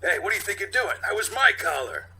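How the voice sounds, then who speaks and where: A man's voice: sits high, big pitch swings, quick pace, bright, forceful tone, a little hiss or hum, pitched above the performer's own voice, full character voice. A man voicing a challenging, squabbling buddy cop in a mock cop show, with exaggerated delivery. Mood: indignant. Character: squabbling male buddy cops, one by-the-book, one stern hothead.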